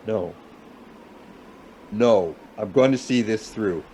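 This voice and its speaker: A man playing a stubborn, guilt-ridden husband in a radio play, halting as he talks his way through a snowstorm. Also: lowish voice, mellow, slight background noise, rough recording. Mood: wary, bewildered. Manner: halting, muttering to himself.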